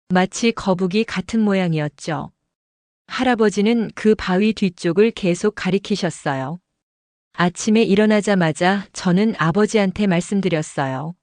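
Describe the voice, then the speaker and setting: A woman narrating a radio drama at a steady storytelling pace. Her voice sits mid-range and is recorded close and dry, with no room sound. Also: medium pitch, no echo.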